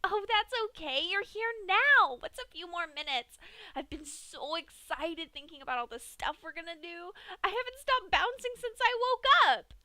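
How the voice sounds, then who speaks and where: A woman's voice: very high voice, expressive, normal speed, sharp tone, pitched above the performer's own voice, heavily acted. A woman voicing a hyper, giggly young female pink pony in a fan-fiction reading, with a squealing, bouncy, gleeful manner.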